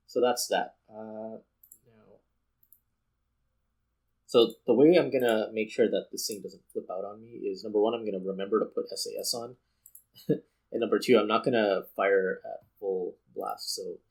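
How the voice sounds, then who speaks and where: A man's voice: fairly low pitch, darkish tone, poor audio. A man giving casual gameplay commentary as host, thinking aloud step by step. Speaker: host playing a space flight game.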